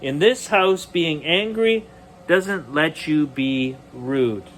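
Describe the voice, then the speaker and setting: A man's voice: a little high, slow, a bit bright. A man voicing a firm mother in a storybook, stern and reproving.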